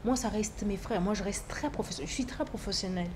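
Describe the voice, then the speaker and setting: Female singer, Bemba as first language, repeats herself for emphasis, mid-pitched voice, slight hiss behind. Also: quick pace. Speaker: singer, guest. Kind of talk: casual interview talk.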